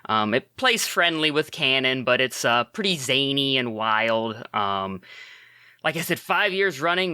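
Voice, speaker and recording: A man's voice: mid-range voice, pushed and bright, clear, resonant tone, dry recording. A man guest on a podcast, talking casually and hesitantly with many ums and uhs. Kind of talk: casual interview chat. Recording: dry close podcast mic.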